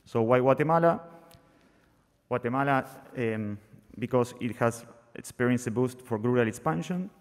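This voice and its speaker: A young man presenting his research at a conference, halting and earnest, with frequent restarts. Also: mid-range voice, smooth. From Argentina. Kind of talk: academic talk. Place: conference hall, seated at a panel table, masked. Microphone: desk microphone on a stand. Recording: long reverb of a large hall.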